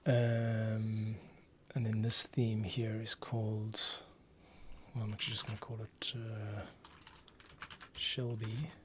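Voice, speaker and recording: A man's voice: fairly low pitch, completely flat delivery, slow, pauses often. A man giving casual livestream commentary as a composer at work, muttering and thinking aloud. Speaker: composer demonstrating his workflow. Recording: clearly noisy room, keyboard clicks. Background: typing, clicks, background noise.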